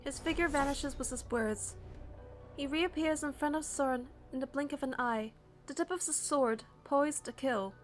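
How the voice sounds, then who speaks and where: A woman narrator reading a first-person story from a script in an expressive, suspenseful, dramatic way. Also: sits high, moderate pace, faint music behind.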